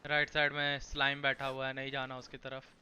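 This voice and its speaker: A man's voice: mid-range voice, monotone, very fast, sharp tone, clear, resonant tone, highs cut off. A young adult man from India chatting casually as a gaming streamer, informal and mixing in English words. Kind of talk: casual gameplay chat.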